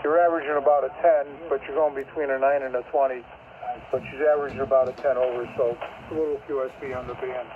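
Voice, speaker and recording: A man's voice: mid-range voice, flat delivery, fast, a crowd in the background. A man giving casual, matter-of-fact technical advice as a ham operator over two-way radio. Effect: two-way shortwave radio. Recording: tinny narrow radio, clear static.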